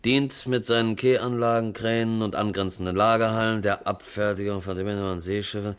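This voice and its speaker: Male actor as nonchalant reporter, deep voice, wry and flippant; vintage radio crime play. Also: steady tone, brisk, smooth, natural voice. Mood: bored. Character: flippant, easily bored male newspaper reporter. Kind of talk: 1950s German radio crime drama acting.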